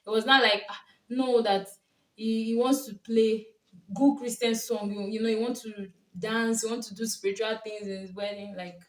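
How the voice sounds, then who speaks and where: A woman's voice: on the high side, steady tone, normal speed. A young Nigerian woman with a Nigerian accent, a Yoruba speaker, telling stories and giving casual relationship advice to camera, laughing often. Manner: chatty storytelling, often laughing. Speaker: vlogger and coach. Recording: roomy, from a distance.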